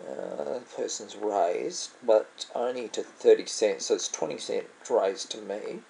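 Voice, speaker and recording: A man's voice: on the low side, unhurried, creaky, dark tone, slight background noise, low-quality recording. A man with an Australian accent, commenting casually on his own online poker game, musing aloud and often trailing off to correct himself. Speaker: vlogger playing online poker. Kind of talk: casual commentary over gameplay.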